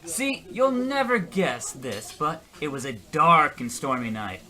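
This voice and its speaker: A man's voice: fairly high pitch, very animated, unhurried, pushed and bright, heavily acted. A man playing an oblivious, self-pitying husband in a comedic radio play, earnest, defensive and rambling. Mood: flustered, rambling. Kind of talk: comedic old-time radio play acting.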